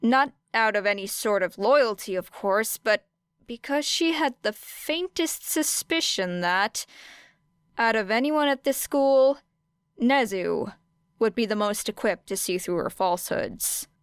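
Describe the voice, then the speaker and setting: A woman's voice: on the high side, lively intonation, a little slow, clear, resonant tone, dry recording, clean studio sound. A woman reading scripted fiction as an audiobook narrator.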